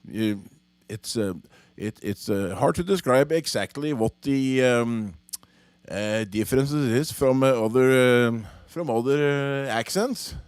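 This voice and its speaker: A man's voice: mid-range voice, slow, darkish tone, clean tone, no echo. A man from Norway with a Norwegian accent, hosting a live music show from a home studio in a rambling, hesitant, joking way. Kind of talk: casual livestream chat.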